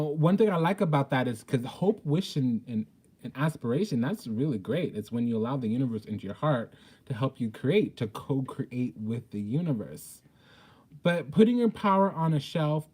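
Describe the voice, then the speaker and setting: Man, mellow dark tone, mid pitch, tarot reader, blunt, sassy, theatrical emphasis, informal. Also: husky, rough recording. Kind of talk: informal spiritual tarot reading.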